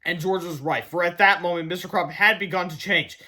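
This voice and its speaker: A man's voice: sits high, fairly quick, bright, forceful tone. A man narrating a children's book as a read-aloud. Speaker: storybook reader.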